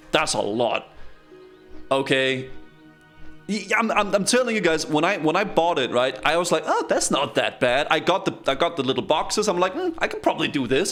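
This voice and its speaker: A man talks to his chat in an excitable, chatty way while he plays. His voice sits a little high and swings up and down a lot. Faint game music plays behind him. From Denmark. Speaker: streamer. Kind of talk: casual live-stream commentary.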